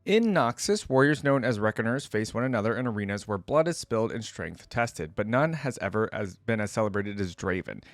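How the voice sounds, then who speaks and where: A man's voice: fairly low pitch, very animated, brisk, hardly any pauses, no echo. A man doing casual reaction commentary, reading text aloud and adding his own remarks. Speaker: host of a gaming reaction channel.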